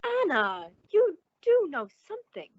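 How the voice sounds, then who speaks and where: High, swooping, genteel radio-drama lady played by a woman. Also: wildly swooping pitch, unhurried, no echo, phone-quality sound, exaggerated voice. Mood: alarmed. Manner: polite, hesitant, theatrical. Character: genteel, sociable woman, uneasy concerned friend of the spinster. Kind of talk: old-time radio suspense acting.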